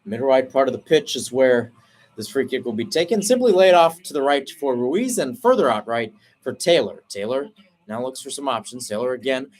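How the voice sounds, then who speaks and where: A man's voice: medium pitch, animated, darkish tone. Man calling soccer play-by-play. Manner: brisk flowing call, rising sharply on chances; self-correcting, explains tactics between plays. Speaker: play-by-play commentator.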